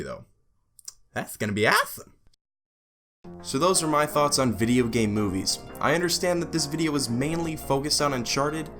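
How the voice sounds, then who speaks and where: A man hosting a video essay, giving opinionated scripted commentary in an informal, emphatic way with sarcastic asides. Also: medium pitch.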